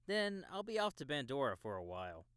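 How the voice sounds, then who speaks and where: A man's voice: mid-range voice, big pitch swings, a bit bright, clean tone, no room sound, studio quality. A man playing a helpful, generous veteran gamer who casually guides a newcomer. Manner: casual, unhurried instructing. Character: helpful, generous male veteran game player and mentor.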